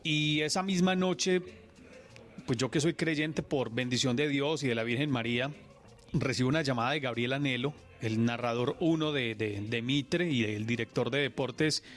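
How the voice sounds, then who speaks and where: A Colombian radio sports journalist, a man, telling his stories in an earnest, animated way during a radio interview. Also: mid-range voice, normal speed.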